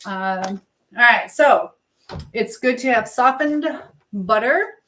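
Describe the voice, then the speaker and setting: A woman's voice: fairly high pitch, expressive, slow pace, a bit bright. The chatty American woman you'd hear on a casual evening livestream, talking to her regulars by name from the kitchen counter while she cooks. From United States. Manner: chatty, reading out and answering viewer comments. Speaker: livestream host. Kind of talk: casual live chat with viewers while cooking. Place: home kitchen.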